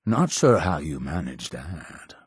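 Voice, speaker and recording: Zealous cult high priest, dramatically swooping delivery. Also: male voice, big pitch swings, slow, slightly rough, no echo, studio quality, exaggerated voice. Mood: solemn, measured. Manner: grandiose, preaching, formal. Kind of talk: scripted video game character dialogue.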